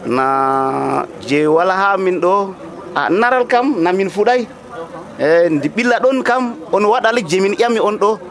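Market trader, man, high voice, halting field interview outdoors. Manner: hesitant, halting talk.

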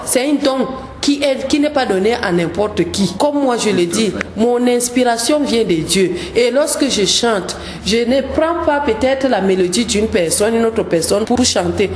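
Lady singer on the radio, earnest, keeps repeating words, echoey room, faint hiss. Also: fairly high pitch. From Cameroon. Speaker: gospel singer, guest. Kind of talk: radio interview.

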